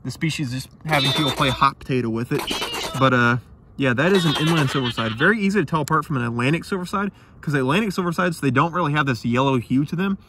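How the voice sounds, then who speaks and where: A young man vlogging outdoors in a tidal marsh at night, talking excitedly and casually about the fish he catches. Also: a little high, fast, background noise. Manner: enthusiastic, chatty, slangy. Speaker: micro-fishing vlogger. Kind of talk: casual outdoor vlog narration.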